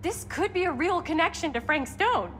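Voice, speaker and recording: A woman's voice: very high voice, animated, moderate pace, fairly bright tone. A woman playing an eager, breezy young explorer in a video game, with an American accent. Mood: excited. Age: young adult. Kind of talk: naturalistic game cutscene acting. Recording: echoing hall, noisy ambience.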